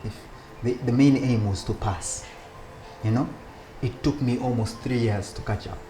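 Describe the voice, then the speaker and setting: A man speaking as an interview guest, telling stories about his school years in a relaxed way, with frequent laughs and restarts. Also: mid-range voice, moderate pace, faint music behind. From Uganda. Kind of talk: relaxed conversational storytelling.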